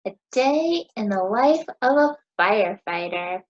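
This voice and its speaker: An American woman in her thirties teaching young children, asking questions in a sing-song, encouraging way with deliberate pauses. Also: medium pitch, expressive, lo-fi sound. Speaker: teacher hosting a children's story time.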